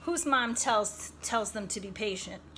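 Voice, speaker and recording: A woman's voice: medium pitch, animated, measured pace, background noise. A woman reading a storybook aloud to children expressively, with chatty, teacherly asides, questions and emphatic phrasing. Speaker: storybook read-aloud reader.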